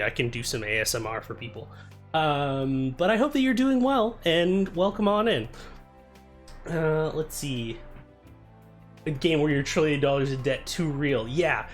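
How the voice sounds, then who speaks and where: Young man, live-stream chat, soft soothing voice, slightly high, self-deprecating, faint music underneath. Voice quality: soft, told he sounds soothing. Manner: chatty and self-deprecating, greets viewers by name.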